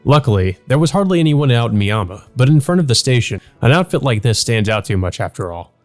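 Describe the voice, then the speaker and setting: A man with a mid-pitched, animated voice reads visual novel narration aloud. He slips into casual let's play commentary, with music in the background. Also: fast.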